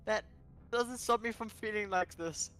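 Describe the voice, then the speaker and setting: A man's voice: high voice, unhurried, fairly bright tone, soft background music, voice pushed up. A man voicing an insecure, melancholy young herbivore character in a visual novel, pleading and hesitant. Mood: anguished, self-pitying.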